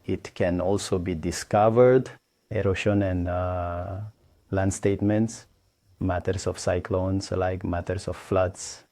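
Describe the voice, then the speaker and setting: A man with a very low, warm, mellow voice explains his engineering work at an unhurried pace. He pauses often and restarts his phrases. The recording is dry and close. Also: fairly even intonation, dry recording. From Tanzania.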